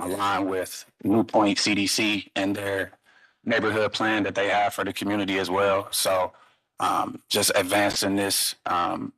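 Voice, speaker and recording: A man speaking for a housing project at a public hearing, measured and halting, with repeated fillers. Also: sits low, sharp tone, dry recording, poor audio. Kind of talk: public meeting testimony.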